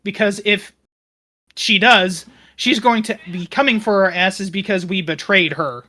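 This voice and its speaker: A man in casual tabletop game talk, explaining things in a deliberate, conciliatory way. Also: high-pitched, pushed and bright.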